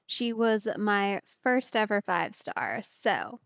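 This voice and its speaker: A woman's voice: mid-range voice, sing-song, slow pace, gravelly, fairly bright tone, no room sound, highs cut off. A young woman doing casual let's play commentary, chatty and full of playful asides.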